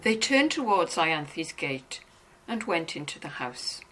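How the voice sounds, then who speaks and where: A woman's voice: deep voice, very animated, takes it slowly, fairly bright tone, a little hiss or hum, rough recording. A woman narrating a novel as a scripted, dramatized reading.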